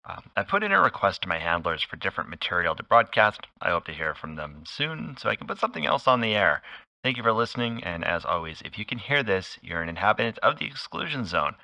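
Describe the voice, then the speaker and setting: A man hosting a radio broadcast with a deadpan, scripted delivery. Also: lowish voice, fast, creaky, no room sound, poor audio. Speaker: host, radio operator. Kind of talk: scripted radio host monologue.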